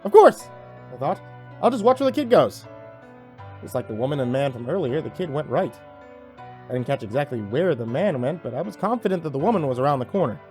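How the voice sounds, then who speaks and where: A man narrates a first-person horror story in a theatrical, expressive way. His voice is fairly high for a man and moves around a lot in pitch, with music behind him. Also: fairly quick. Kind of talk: dramatic first-person scripted narration, acting out dialogue.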